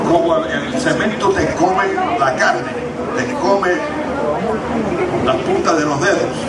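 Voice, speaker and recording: An older man with a slight Cuban Spanish accent, a pastor telling stories in a sermon with wry humor and many fillers. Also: sits high. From Cuba. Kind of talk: anecdotal sermon. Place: church sanctuary. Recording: echoey room, mic from a distance.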